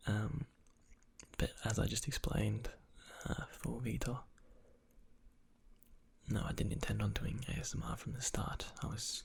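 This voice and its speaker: A young man, an ASMR channel creator, answers viewer questions in a soft whisper, rambling with fillers. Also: on the low side, level tone, a little slow, creaky, husky.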